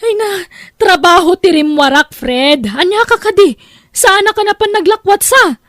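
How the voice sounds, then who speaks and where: A woman's voice: very high voice, lively intonation, a little slow, fairly bright tone, dry recording, clean studio sound, exaggerated voice. A woman playing a long-suffering, distressed wife in an Ilocano audio drama, emotional and pleading. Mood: anguished, angry.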